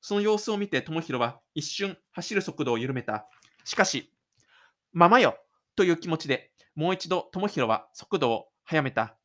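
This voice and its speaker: Man narrating an audio drama. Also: on the high side. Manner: dramatic storytelling with spoken sound effects. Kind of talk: scripted story narration.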